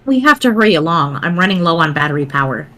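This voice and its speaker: Woman reading as a pedantic, lowish-voiced young explainer. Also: very animated, bright, forceful tone, full character voice. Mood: brisk. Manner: formal, wordy, measured. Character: pedantic, precise young explainer writing a game walkthrough.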